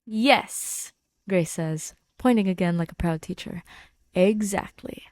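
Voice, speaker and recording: A woman's voice: medium pitch, gravelly, warm tone, no echo. A woman reading fan fiction aloud as a podfic narrator, in scripted storytelling style.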